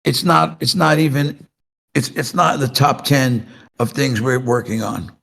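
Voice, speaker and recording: A man answering fan questions in a casual voice chat, slow and hesitant with many restarts. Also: mid-range voice, steady tone, gravelly, fairly bright tone. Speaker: game studio representative answering questions.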